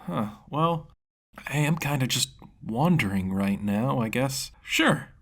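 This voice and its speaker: A man's voice: medium pitch, animated, takes it slowly, a bit bright, voice pushed up, exaggerated voice. A man voicing a cheerful, dim, trusting young male wanderer in an easygoing, hesitant way for a fantasy story. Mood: carefree.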